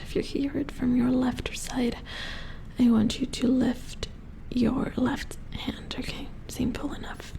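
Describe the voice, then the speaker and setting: A woman playing an attentive, mildly worried robot repair engineer in a soft-spoken ASMR roleplay, murmuring hesitantly in a breathy whisper as she works. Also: high-pitched, a little hiss or hum. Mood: calm, instructive.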